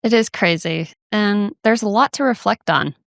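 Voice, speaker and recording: A woman's voice: medium pitch, moderate pace, vocal fry, no room sound, clean studio sound. A woman co-hosting a podcast, friendly and self-deprecating, moving between easy chat and explaining research. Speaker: podcast co-host. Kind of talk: casual chat and reading out research.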